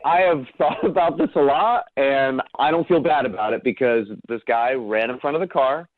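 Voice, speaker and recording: A man's voice: medium pitch, normal speed, no room sound. A man with a mid-range voice talks as a caller on a radio show. The sound is thin and band-limited like a phone line. He tells his story casually, defending himself in a matter-of-fact tone. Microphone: phone call.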